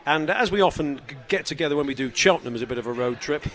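A man's voice: on the high side, expressive, very fast, a bit bright. A man co-hosting a podcast, chatting casually with a dry, joking manner. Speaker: podcast co-host. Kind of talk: casual chat. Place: indoors, travelling abroad.

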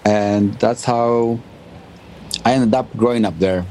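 A man, giving casual interview answers as a DJ in halting, English. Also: fairly low pitch, steady tone, mellow, slight background noise. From Albania and northern Greece. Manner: halting non-native English phrasing. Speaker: interview guest, DJ and music producer.

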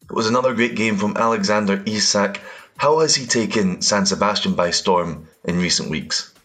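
Scottish male podcast host, lower register, scripted and measured, faint music bed. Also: a bit bright. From Scotland. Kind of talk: scripted football podcast narration.

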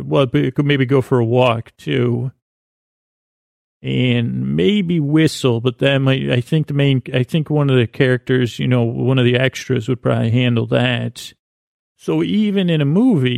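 A man hosting a podcast, talking in a slow, meandering, lulling way as he drifts from thought to thought. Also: mid-range voice, vocal fry, a little mellow, no echo, studio quality. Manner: slow meandering soothing delivery. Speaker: podcast host. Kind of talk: rambling sleepy podcast intro monologue.

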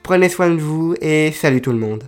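A man hosting a solo podcast, explaining his topic in a chatty way and often pausing to hesitate. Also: a little high, expressive. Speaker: podcast host. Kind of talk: solo informative podcast monologue.

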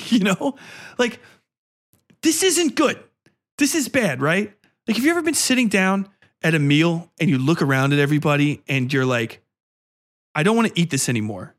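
A man's voice: high voice, fairly bright tone. A man ranting casually as a podcast host, blunt, profane and exasperated, rambling through his opinions in slangy speech.

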